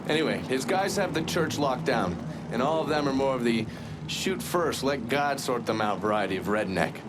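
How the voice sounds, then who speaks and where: Actor as a wry operative over street noise. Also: male voice, medium pitch, expressive, normal speed, natural voice. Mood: wry briefing. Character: gruff, cynical male intelligence operative briefing a partner. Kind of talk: video game dramatic acting.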